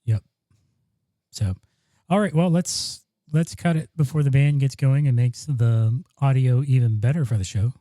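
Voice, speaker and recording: A man's voice: medium pitch, measured pace, dark tone, no echo. A man co-hosting a podcast in casual chat, rambling with many ums and restarts, on a studio dynamic mic. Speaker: podcast co-host. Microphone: broadcast dynamic studio mic.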